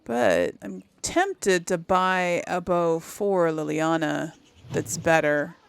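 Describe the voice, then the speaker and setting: A woman's voice: on the low side, slow pace, creaky, clear, resonant tone, no echo. A woman giving casual commentary as she plays a game, thinking aloud in a musing way. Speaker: gamer, series host.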